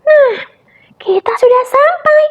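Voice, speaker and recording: A woman voicing an eager, cheerful little male kitten with high, squeaky meows in a children's story. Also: animated, slow pace, exaggerated voice. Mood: excited.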